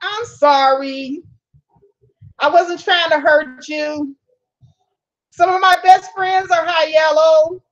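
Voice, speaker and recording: A woman's voice: very high-pitched, fairly even intonation, slow pace, long pauses, muffled recording. A woman hosting a casual live stream. She reads viewer comments aloud, answers them, and laughs often. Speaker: channel host reading live chat.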